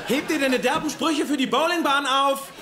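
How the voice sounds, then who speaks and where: An actor in a German sitcom dub playing a pompous, pedantic, socially awkward young nerd with stilted, precise, boastful diction. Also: male voice, very high voice, moderate pace, background noise. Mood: smug, fussy.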